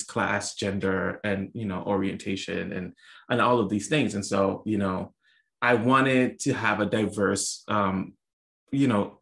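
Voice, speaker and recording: A young adult man from the US Virgin Islands answers interview questions in a casual, thoughtful way. His voice sits low and has a gravelly edge. He pauses often, restarting his sentences as he works out what to say. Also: a bit bright. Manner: thoughtful, many fillers and restarts. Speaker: author guest.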